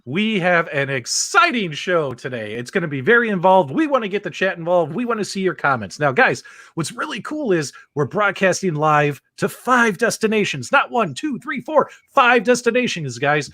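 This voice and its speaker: A man's voice: high-pitched, very animated, moderate pace, pushed and bright, no echo, studio quality. An enthusiastic man hosting a live video game talk show, repeating himself for emphasis. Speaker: show host.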